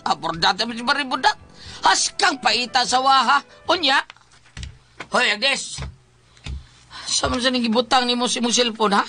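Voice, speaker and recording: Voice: high voice, sharp tone. A performer with a Cebuano Bisaya accent acting in a colloquial comedy skit, scolding and exclaiming. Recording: music behind the voice.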